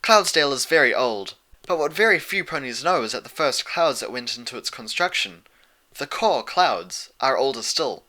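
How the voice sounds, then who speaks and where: A wise, motherly pony princess, put on by a male reader in a fan storybook. The delivery is measured and patient, in a raised, very crisp bright voice. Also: mid-range voice, lively intonation, pitched above the performer's own voice. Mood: measured, lecturing. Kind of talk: fan fiction audiobook character voice.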